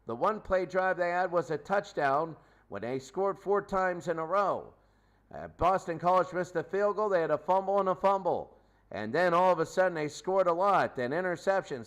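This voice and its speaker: A man's voice: fairly high pitch, fairly bright tone. A man hosting a sports show, recapping a game off the cuff in clipped runs of stats, repeating phrases for emphasis. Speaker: sports show host, commentator. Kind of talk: unscripted game recap commentary.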